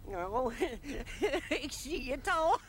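A woman's voice: sits high, sing-song, very slow, a bit bright, slight background noise, full character voice. A woman playing a chatty, devout, prickly old village woman in a television drama. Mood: chatty delight. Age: older. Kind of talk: television period drama acting.

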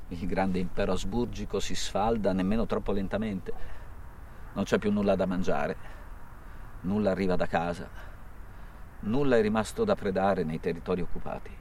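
Very deep-voiced male documentary narrator. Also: darkish tone, slight background noise. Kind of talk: scripted historical documentary narration.

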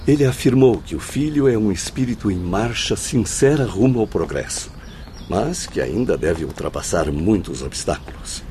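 An actor playing an earnest, well-informed spirit who recounts tragedy in formal, eloquent, emphatic phrases in a radio drama. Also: male voice, lowish voice, animated, normal speed, warm tone, a little hiss or hum, close to an everyday voice. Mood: earnest, informative.

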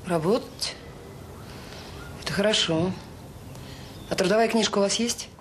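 An actress playing a warm, determined director of a children's home in a radio drama, brisk and plain-spoken with hesitant pauses. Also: female voice, medium pitch, lightly acted. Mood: friendly, frank. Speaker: orphanage director. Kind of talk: naturalistic radio drama acting. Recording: noisy old radio play recording.

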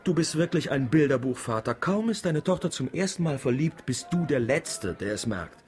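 An actor playing a dry, blunt, teasing village friend and drinking companion in a radio drama. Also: male voice, mid-range voice, animated, moderate pace, faint music behind, close to an everyday voice. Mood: teasing, frank.

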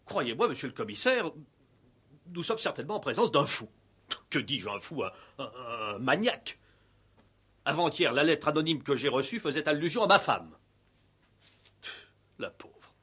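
Flustered small town mayor, pompous n hesitant, lively raspy voice, old sixties french radio play. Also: male voice, fairly high pitch, normal speed, long pauses, full character voice. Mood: bewildered, effusive. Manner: hesitant, effusive, self-correcting. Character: bewildered, pompous male village mayor.

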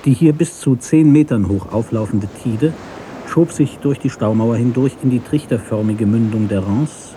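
A man reading a literary story as narrator in a measured, sober manner. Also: fairly low pitch, warm tone, slight background noise.

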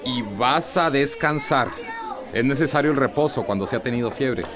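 A man's voice: mid-range voice, crowd noise, lightly acted. A man playing an earnest, principled doctor in a radio drama, emphatic and commanding. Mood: brisk, concerned. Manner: emphatic, scolding, brisk. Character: earnest, indignant relief doctor, principled and bossy.

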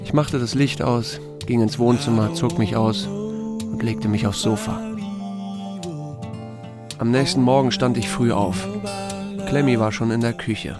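Laconic male sheriff with a creaky voice. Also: mid-range voice, lively intonation, measured pace, pauses often, loud music behind the voice. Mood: reflective, matter-of-fact. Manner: terse, understated. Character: small-town sheriff, married man, reserved and laconic. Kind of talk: German radio drama, first-person narration and dialogue.

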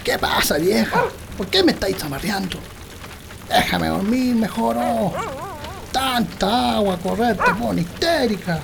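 Guy doing grumpy old chilean country husband, gravelly, radio play, some rain noise behind. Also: sits high, expressive, a little slow, heavily acted. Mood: sleepy, irritated. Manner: grumbling, colloquial. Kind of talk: comic radio drama acting.

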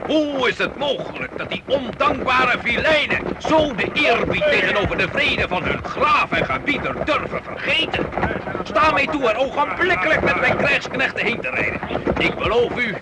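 A scornful medieval knight in radio drama, pitched extremely high for a man. He is formal, emphatic and servile to his lord, on a very noisy old recording. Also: lively intonation, moderate pace. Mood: indignant, servile. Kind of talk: old radio play acting.

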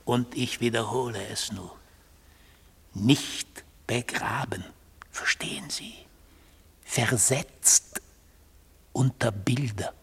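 An actor in a German radio drama playing a mystical god on trial, a fanatical defender of order who speaks solemnly and insistently, at times dropping to a hushed intensity. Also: male voice, medium pitch, slow, vocal fry, long pauses, slightly rough, full character voice. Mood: hushed insistence. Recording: long hall-like reverb.

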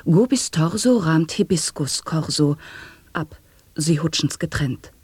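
An actor in a 1980s radio play, chanting sound poetry and wordplay in a slow, hushed way. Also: male voice, a little high, lively intonation, breathy edge, no echo, full character voice. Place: dry radio studio.

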